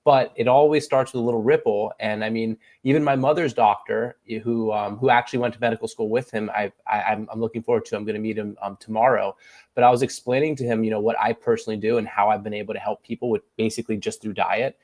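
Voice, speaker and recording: A man in his thirties talking earnestly on a casual panel chat, acting out the voices of people he quotes. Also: lowish voice. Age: 30s. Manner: earnest, quick and animated, acts out quoted voices. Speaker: podcast guest.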